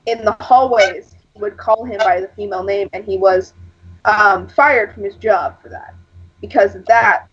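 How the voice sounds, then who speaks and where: Woman, husky and breathy, casual rambling storyteller; muffled lo-fi recording. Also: moderate pace, fairly bright tone. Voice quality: husky, lower than average for a woman. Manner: rambling, storytelling with frequent fillers. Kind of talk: casual chat. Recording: lo-fi dull sound.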